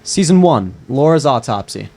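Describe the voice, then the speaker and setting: A man reading the part of a calm, teasing young man and close friend at a live screenplay table read. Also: medium pitch, normal speed.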